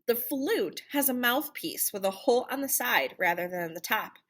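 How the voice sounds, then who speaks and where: A woman reading a children's picture book aloud in an animated, theatrical storytelling manner. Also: on the high side, moderate pace. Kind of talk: expressive children's read-aloud narration.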